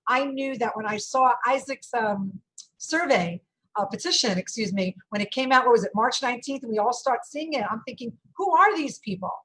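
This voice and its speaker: Husky New York woman with a big voice. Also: a little high, animated, normal speed, fairly bright tone, poor audio. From Brooklyn, New York. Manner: big, emphatic voice. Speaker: event company owner. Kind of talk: panel discussion on a video call. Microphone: video call.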